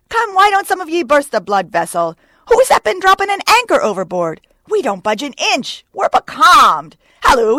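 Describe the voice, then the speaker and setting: A woman voicing a rowdy male whaling officer who taunts and goads his rowers with forceful exclamations in an audiobook. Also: high-pitched, no room sound, voice pushed up. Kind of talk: audiobook character voice.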